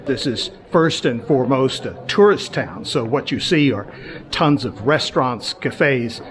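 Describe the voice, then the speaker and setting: A middle-aged man hosting a travel show, narrating in a relaxed, conversational way with small hesitations as he walks around outdoors. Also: medium pitch, measured pace. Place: outdoors in a busy tourist town. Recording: crowd chatter behind the voice. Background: crowd of tourists.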